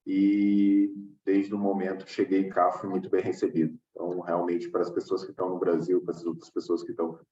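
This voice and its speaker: A man with a Brazilian accent giving an online talk as an agile coach, relaxed and tagging his sentences with "tá?". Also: sits low, fairly quick, hardly any pauses, slight background noise. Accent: Brazilian Portuguese. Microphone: video call. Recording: distant, echoey, muffled call audio.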